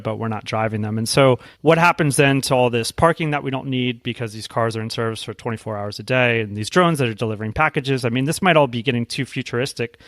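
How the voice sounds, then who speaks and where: A man's voice: medium pitch, fairly bright tone, dry recording, clean studio sound. An American man hosting an interview in a casual, inquisitive way, thinking aloud as he builds his questions. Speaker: podcast host. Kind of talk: conversational interviewing.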